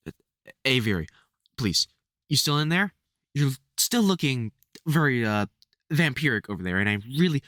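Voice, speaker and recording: An actor playing a gruff, protective vampire hunter who swears and stammers under worry in an audio roleplay. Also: medium pitch, fairly bright tone, dry recording, clean studio sound, close to an everyday voice. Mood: anxious, pleading. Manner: sweary, urgent, stammering when worried. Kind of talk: audio roleplay drama spoken to the listener.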